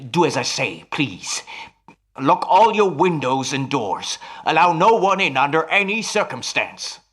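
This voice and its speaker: A male actor as an earnest stage telepathist in a radio drama. The voice sits fairly high and swings widely in pitch, with slow, deliberate, dramatic phrasing and a grave, courteous showman's poise. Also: sing-song, a bit bright. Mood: urgent, grave. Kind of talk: radio play acting.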